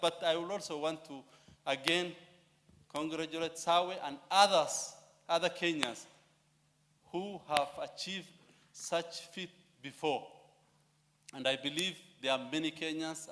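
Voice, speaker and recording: A man addressing parliament with an animated, anecdotal floor speech and loud bursts. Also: fairly high pitch. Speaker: member of parliament. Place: large parliamentary chamber. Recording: chamber echo with faint hum.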